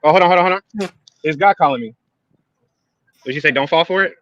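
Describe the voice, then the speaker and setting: Loud, slangy man on a lo-fi line. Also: on the high side, fast, no echo. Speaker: guest on an online panel. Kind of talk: casual streetwise banter. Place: public place with people around.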